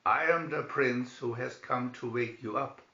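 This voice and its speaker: A man's voice: medium pitch, unhurried, pushed and bright. A man voicing a brave, courteous young prince in a storybook fairy tale. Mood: earnest resolve. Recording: dull, roomy amateur recording.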